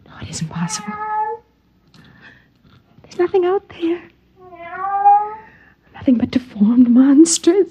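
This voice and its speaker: An actress playing a lonely, yearning wife in a 1950s radio drama, speaking in hushed tones. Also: female voice, high-pitched, big pitch swings, takes it slowly, long pauses, exaggerated voice. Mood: breathless. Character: lonely wife in a fallout shelter. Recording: dull old radio broadcast with music.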